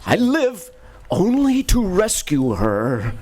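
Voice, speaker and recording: A heroic space adventurer, played by a man in an old-time radio play, earnest and a bit flustered, stumbling over words. His voice is high and swoops widely, with slow, drawn-out lines. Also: wildly swooping pitch, darkish tone, full character voice. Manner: hesitant, stammering, theatrical. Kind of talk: live community radio play acting.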